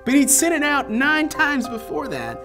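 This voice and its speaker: Male comic storyteller, very animated pitch, slangy and performative, music clearly underneath. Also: normal speed. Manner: slangy, acts out quotes. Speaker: comedian talking head.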